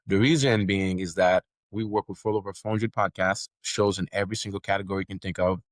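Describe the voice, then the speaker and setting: A man's voice: low-pitched, steady tone, fast, no echo. A middle-aged man from Haiti with a Haitian accent, whose first language is Haitian Creole, talking confidently as a podcast guest. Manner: confident, businesslike, repeats words while building a point. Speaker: podcast network founder, guest. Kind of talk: casual interview talk.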